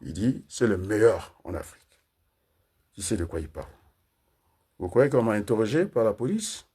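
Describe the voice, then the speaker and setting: A man's voice: lowish voice, sing-song, normal speed, dark tone, slightly rough. A man from Congo giving a combative political monologue, hesitating often with fillers. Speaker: political commentator.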